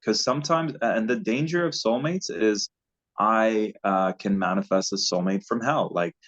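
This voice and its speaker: A man's voice: fairly low pitch, normal speed, fairly bright tone. A man, a relationship coach, giving spiritual advice in an earnest, loose and rambling way over a video call. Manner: earnest, loose and rambling, laughs at own slips. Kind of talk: casual spiritual advice talk. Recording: dull lo-fi audio.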